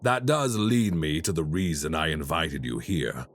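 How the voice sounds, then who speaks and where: A man playing a deep, slow, courteous crime boss. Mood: smooth menace. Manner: slow, courteous and quietly menacing. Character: calm, polite male crime boss, menacing. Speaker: voice actor. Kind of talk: audio roleplay.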